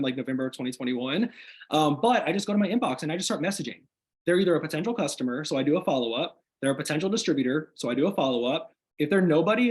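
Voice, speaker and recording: Fast-talking upbeat man, slightly hoarse. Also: on the high side. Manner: energetic, motivational, many "like" fillers. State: slightly hoarse, recovering from illness. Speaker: guest sharing business tips. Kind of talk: casual video call chat. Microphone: video call microphone.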